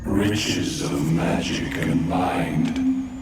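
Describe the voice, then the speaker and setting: An actor playing an ancient, grieving mushroom-creature sovereign in a fantasy game, speaking in short, stately phrases with an otherworldly echo. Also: male voice, deep voice, very slow, very noisy, heavily acted. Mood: commanding. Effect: reverberant otherworldly echo. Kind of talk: scripted fantasy game acting. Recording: heavy echo, distant, loud music.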